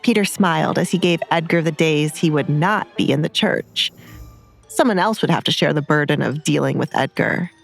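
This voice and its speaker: A woman's voice: low voice, normal speed, vocal fry, faint music behind. A woman reading scripted dramatic story narration.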